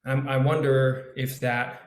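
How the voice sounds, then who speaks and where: A man's voice: mid-range voice, little pitch movement. Reflective man in an echoey room. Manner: halting, musing, circles back to rephrase. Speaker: actor. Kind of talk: reflective panel discussion. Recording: roomy, echoey video call sound.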